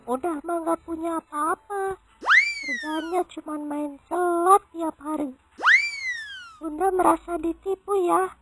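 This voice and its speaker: A woman acts out a fed-up cartoon wife and mother with an extremely high voice. She scolds and complains at an unhurried pace, with clear music playing behind her. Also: full character voice, low-quality recording. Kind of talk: comic cartoon dialogue.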